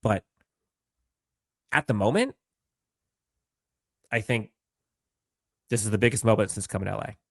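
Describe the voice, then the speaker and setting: A man co-hosting a sports podcast, animated and building long emphatic runs of points. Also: on the low side, measured pace, gravelly, long pauses, no echo, clean studio sound. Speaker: podcast co-host. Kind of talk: excited sports podcast talk.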